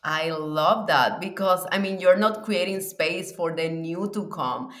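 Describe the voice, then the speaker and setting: Woman, puerto rican accent, lowish voice, echoey room, eager reflective podcast guest. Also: unhurried, a bit bright. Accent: Puerto Rican Spanish. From Cuba. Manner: enthusiastic, reflective, laughs often. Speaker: mindset coach and podcast guest. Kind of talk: casual podcast interview.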